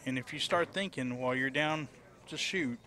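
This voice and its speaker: A man with a Texan accent giving relaxed, explanatory color commentary on a pool match, using folksy sayings. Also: mid-range voice, moderate pace. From Texas. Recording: noisy crowd in the background.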